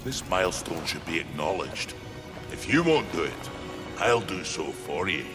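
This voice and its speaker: An actor playing a huge, steadfast warrior-smith in a game, gruff and solemn with archaic phrasing. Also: male voice, deep voice, big pitch swings, unhurried. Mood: resolute, urging. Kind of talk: dramatic video game cutscene acting. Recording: loud game music behind the voice.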